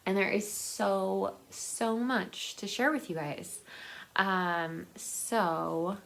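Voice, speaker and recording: A woman's voice: medium pitch, gravelly, a little hiss or hum. A woman chatting casually and enthusiastically to viewers in a rambling, conversational book vlog. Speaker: book vlogger.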